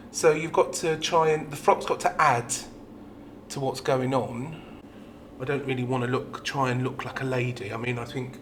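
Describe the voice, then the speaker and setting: A man with a British accent talking informally and reflectively in an interview, often letting a thought trail off. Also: mid-range voice. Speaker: pantomime dame performer. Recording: roomy echo with background noise.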